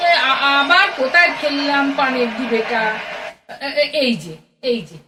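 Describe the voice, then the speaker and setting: A woman's voice: high-pitched, measured pace, distant mic, music in the background. A woman playing an anxious, chatty middle-aged Bengali mother in an audio play, fretful and exclamatory with a homely scolding manner. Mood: exasperated.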